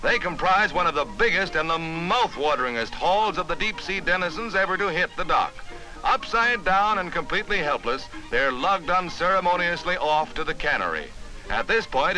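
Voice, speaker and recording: Male American newsreel narrator, early fifties style. Dramatic scripted delivery, fairly high voice, music underneath. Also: animated, normal speed, pushed and bright, low-quality recording. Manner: formal, emphatic, dramatic announcer delivery. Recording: vintage newsreel soundtrack with music.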